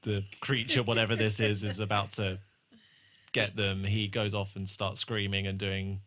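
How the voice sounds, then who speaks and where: Male podcast host, lowish pitch with a bright edge, informal and sweary in casual review chat. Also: little pitch movement, normal speed.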